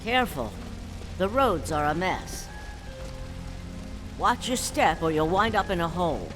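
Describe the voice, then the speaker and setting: A careworn townswoman from a game drama, kindly and cautioning. Her pitch moves expressively, and music plays clearly behind her words. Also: lively intonation, a little slow. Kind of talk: video game cutscene acting.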